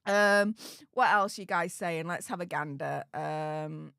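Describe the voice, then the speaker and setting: A woman's voice: on the low side, a little slow, gravelly, a bit bright, clear, resonant tone, no echo, clean studio sound. A woman with a Yorkshire accent, hosting a casual livestream and chatting as she reads out viewer comments. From Yorkshire, England. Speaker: YouTube host reading live chat.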